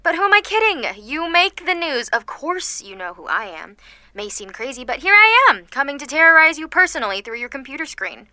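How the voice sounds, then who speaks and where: A woman's voice: sits high, sing-song, brisk, bright, forceful tone, dry recording, full character voice. A woman playing a sarcastic, anxious seventeen-year-old supervillain, speaking theatrically in an audio drama monologue. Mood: defiant, theatrical.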